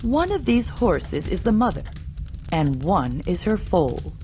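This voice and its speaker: A woman voicing a stern male messenger from an emperor, speaking in a formal, measured way. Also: lowish voice, very animated, dark tone. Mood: challenging. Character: stern male imperial envoy posing riddles.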